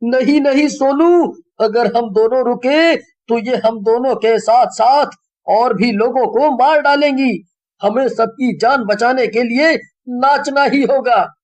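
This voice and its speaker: A man's voice: extremely high pitch, takes it slowly. A man playing a whiny, frightened young fellow in a horror story, with exaggerated pleading delivery. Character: frightened, whining young male friends trapped by witches.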